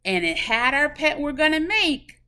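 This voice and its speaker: High, animated woman teaching preschoolers. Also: moderate pace. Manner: animated, asking questions then answering them for young children. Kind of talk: children's craft lesson.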